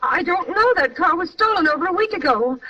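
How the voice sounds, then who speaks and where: Anxious, high-voiced secretive widow. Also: female voice, expressive, brisk, pushed and bright, dull audio, exaggerated voice. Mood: defensive. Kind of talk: old-time radio mystery acting. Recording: distant, noisy, band-limited vintage radio recording.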